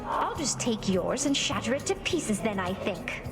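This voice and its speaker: A woman's voice: medium pitch, big pitch swings, measured pace. A woman voicing a seductive, vengeful goddess of love in a video game, theatrical and full of sugary menace. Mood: sweetly menacing. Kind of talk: dramatic video game acting. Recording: echoing, loud music behind.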